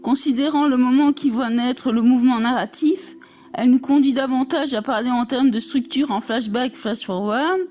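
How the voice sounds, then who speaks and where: High-pitched woman reading aloud. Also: moderate pace, darkish tone, soft background music. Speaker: reader of an academic text. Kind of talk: reading a scholarly text aloud.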